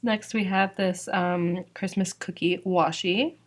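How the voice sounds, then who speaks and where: Chatty young woman with a slow, low voice. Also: steady tone. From Georgia, US. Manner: chatty, fillers like "like" and "um". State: voice slightly worn from losing it. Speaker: sticker shop owner presenting new releases. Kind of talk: casual product walkthrough.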